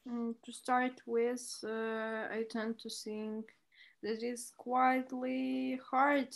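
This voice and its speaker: A woman's voice: a little high, flat delivery, extremely slow, vocal fry. A young woman with a Ukrainian accent, a student answering in an interview, very hesitant as she searches for words in a language that is not her first. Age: young adult. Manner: very hesitant, searching for words in non-native English. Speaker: university student, interview guest.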